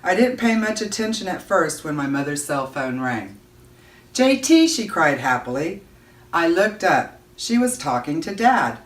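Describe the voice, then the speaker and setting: A woman giving an expressive read-aloud of a children's novel, narrating as a storyteller. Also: sits low, moderate pace. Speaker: audiobook-style reader. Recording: roomy echo, distant microphone.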